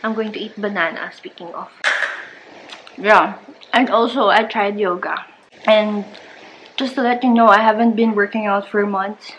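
A woman's voice: medium pitch, fairly even intonation, normal speed, long pauses, fairly bright tone, a little hiss or hum, poor audio. A young woman in her twenties with a Filipino accent, chatting casually to the camera in a home vlog, switching between English and Tagalog. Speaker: vlogger. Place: living room at home. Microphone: camera close to face.

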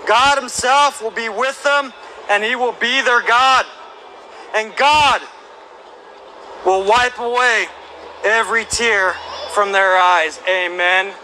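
Street preacher, man in his thirties, high and bright, slow emphatic delivery, handheld mic outdoors. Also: slight background noise, low-quality recording. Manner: reads scripture aloud, slow and emphatic, rising to shouts. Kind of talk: open-air sermon with scripture reading. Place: outdoor plaza by historic buildings. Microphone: handheld microphone through a speaker.

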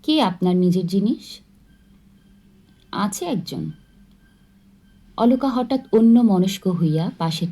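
A woman narrating a literary short story in a slow, expressive storytelling manner. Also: on the low side, breathy edge. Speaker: audiobook narrator.

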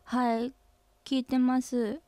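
A woman playing a serious, troubled office employee who speaks gravely to her boss in a radio drama. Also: high-pitched, level tone, takes it slowly, mellow, no room sound. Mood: grave, resolute.

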